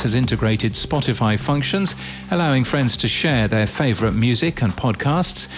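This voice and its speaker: A man presenting a scripted podcast news bulletin in crisp newsreader phrasing with wry touches. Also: mid-range voice. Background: background music.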